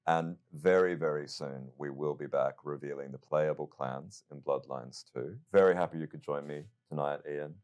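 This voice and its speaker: A man with a strikingly deep, bottomed-out voice presents an interview show. His delivery is measured and somewhat theatrical, like a prepared presenter putting questions to a guest. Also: fairly even intonation, a little mellow, clear, resonant tone. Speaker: host interviewer of a game reveal show.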